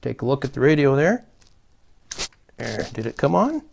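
A middle-aged man with a gravelly voice gives a casual, folksy repair tutorial, talking through each step as he works. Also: mid-range voice, very animated, normal speed, darkish tone, a little hiss or hum. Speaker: host of a CB radio repair channel. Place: small plain indoor room, seated at a table.

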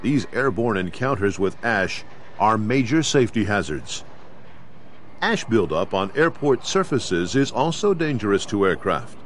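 A man's voice: on the low side, big pitch swings. American man narrating a noisy old training video. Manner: measured, formal, instructional delivery. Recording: 1990s videotape with clear background noise.

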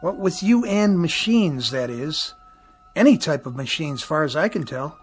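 Actor as a higher-voiced, plainspoken repairman. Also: animated, normal speed, soft background music, natural voice. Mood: probing. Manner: folksy, blunt, colloquial. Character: plainspoken, patient working-class male TV repairman. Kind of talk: radio drama acting.

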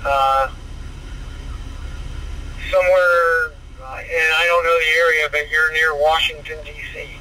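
A man with a moderately high voice chats casually over a digital ham radio link. The radio audio plays in a car, with clear road noise around it. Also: flat delivery, a little slow, pauses often, sharp tone, rough recording. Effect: heard over a digital radio link. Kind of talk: casual radio contact chat.

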